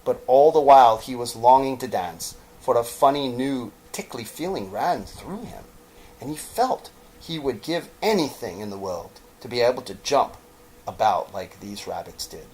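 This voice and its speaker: A man narrating a children's story expressively, with theatrical phrasing and pauses. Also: mid-range voice, animated, darkish tone, a little hiss or hum, low-quality recording.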